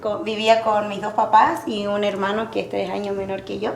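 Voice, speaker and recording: Soft-spoken Chilean woman in an echoey room. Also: medium pitch, level tone, brisk, vocal fry, a little hiss or hum. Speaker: podcast guest. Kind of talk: casual interview chat.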